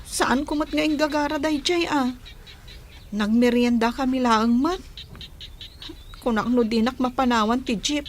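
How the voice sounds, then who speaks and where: Reluctant young daughter, very high voice, sulky, Ilocano accent, radio drama, background noise. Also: female voice, unhurried, pauses often. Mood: resigned. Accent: Filipino, Ilocano. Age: young adult. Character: reluctant young bride-to-be, daughter resisting arranged marriage.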